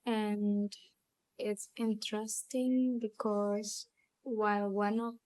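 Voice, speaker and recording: Woman, hypnotic guided meditation, calm, unhurried, long pauses, even intonation, close dry sound. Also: mid-range voice, dry recording. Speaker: hypnotherapy channel host and guide. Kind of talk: guided visualisation, gentle hypnotic storytelling.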